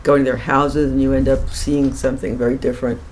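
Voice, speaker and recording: An older woman with a low voice who talks fast. She chats casually in a blunt, wry manner, with faint noise in the room. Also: level tone, a little mellow, rough recording. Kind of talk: casual chat.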